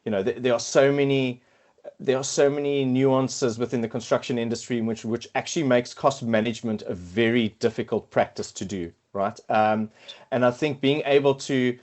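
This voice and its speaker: A man's voice: mid-range voice, fairly even intonation, normal speed, a little mellow. Hesitant South African man, middle-aged. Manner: hesitant, many fillers and repeated words. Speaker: podcast guest, quantity surveyor. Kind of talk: casual interview chat.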